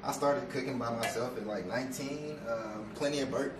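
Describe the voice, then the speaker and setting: A young man, a guest chef, calmly explaining a recipe step by step in a home kitchen, filling pauses with "you know". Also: mid-range voice, loud music behind the voice. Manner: steady, instructive, with fillers like "you know". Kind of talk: casual cooking demonstration. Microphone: far camera. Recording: echoey kitchen, noisy.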